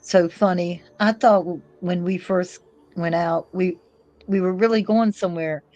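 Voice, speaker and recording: A woman's voice: fairly low pitch, fairly even intonation, measured pace, mellow, no room sound, highs cut off, close to an everyday voice. A woman reading the part of a disillusioned young female outlaw in love, with plaintive, folksy phrasing. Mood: blue, disillusioned. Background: faint music.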